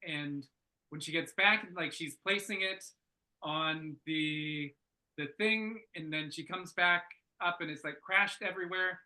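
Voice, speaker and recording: Bright-voiced man giving halting, informal feedback. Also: fairly high pitch, low-quality recording. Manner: halting, thinking aloud. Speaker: script reader giving feedback. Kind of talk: casual workshop feedback discussion.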